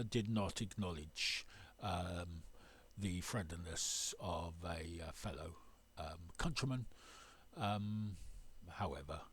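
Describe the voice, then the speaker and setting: A man narrating his sailing voyage as a voice-over, pausing often with many uhs and ums. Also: deep voice, breathy edge. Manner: hesitant, full of uhs and ums. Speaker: sailing vlogger.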